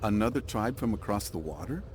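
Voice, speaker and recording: A man voices a grave warrior ally in a game. He speaks low, in a terse and solemn way, with faint ambient noise around him. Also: animated, brisk, a little mellow, natural voice. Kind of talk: video game cutscene acting.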